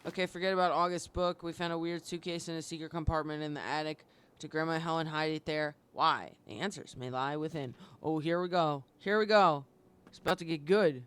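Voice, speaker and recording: A woman live-streaming a game with casual, sweary commentary and expressive reactions. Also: low voice, brisk, clean tone.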